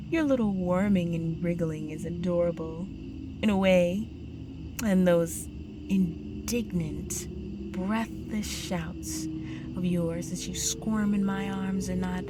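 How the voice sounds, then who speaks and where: A woman playing a predatory, condescending vampire countess in an intimate audio drama, with a teasing, aristocratic manner and breathy whispers. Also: lowish voice, slow, long pauses. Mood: amused, condescending. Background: music.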